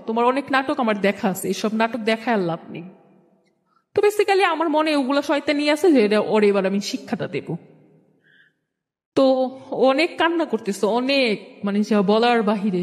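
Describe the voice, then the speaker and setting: Young female storyteller, Bangladeshi accent, higher register, re-enacts dialogue colloquially, recorded with hall-like reverb. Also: a little mellow. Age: young adult. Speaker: guest telling own life story. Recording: long echoing hall reverb.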